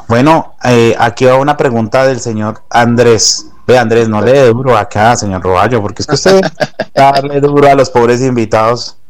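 Man, host, fairly low voice, teasing, casual chat. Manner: joking, teasing, chatty. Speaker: podcast host.

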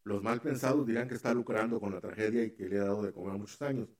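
Guy hosting radio, lowish voice, lots of "eh" and "este", rambles on. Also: steady tone, fast. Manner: rambling, many fillers and restarts. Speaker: radio show host. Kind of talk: casual radio chat.

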